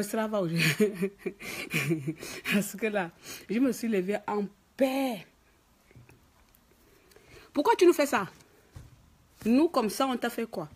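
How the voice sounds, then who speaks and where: A woman's voice: mid-range voice, a little mellow, slightly rough. A woman from Gabon with a Gabonese French accent, scolding and mocking in an emphatic political rant. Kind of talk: ranting political commentary to camera. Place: office.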